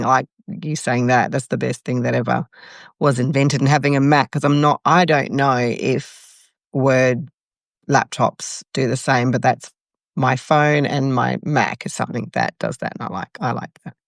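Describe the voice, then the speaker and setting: Gravelly-voiced woman chatting easily. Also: rumbling low, moderate pace, dry recording, studio quality. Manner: relaxed, agreeable, laughs easily. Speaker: podcast co-host. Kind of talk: casual chat.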